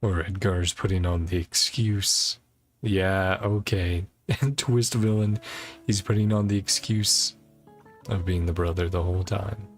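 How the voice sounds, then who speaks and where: A man's voice: low-pitched, steady tone, measured pace. A man doing casual video game commentary, thinking aloud as he pieces a mystery together, with game music behind him. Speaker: streamer playing a video game.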